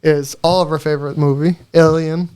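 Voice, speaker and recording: High-pitched man chatting casually, swearing freely. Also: little pitch movement, fairly quick, a little mellow, clean tone. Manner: profane, joking. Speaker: podcast co-host. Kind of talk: casual chat about movies.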